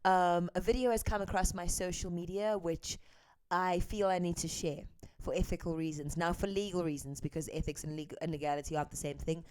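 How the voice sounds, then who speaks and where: Earnest woman, smooth low voice. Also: steady tone, brisk, a little mellow, no room sound. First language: English. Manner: earnest, candid, with frequent hesitant ums. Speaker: host, translator. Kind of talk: earnest commentary and live translation.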